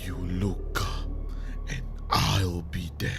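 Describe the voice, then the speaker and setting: A man acting a grieving, unhinged father turned vengeful villain in a dramatic audio monologue, with menacing, anguished delivery and long pauses. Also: deep voice, flat delivery, gravelly, over music, full character voice. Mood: cold menace.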